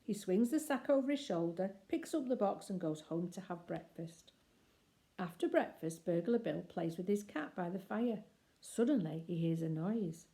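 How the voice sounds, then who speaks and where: A woman storyteller reads a picture book aloud. Her voice sits a little low and has a relaxed, mellow tone. She phrases deliberately and expressively, pausing for emphasis. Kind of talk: expressive children's story reading.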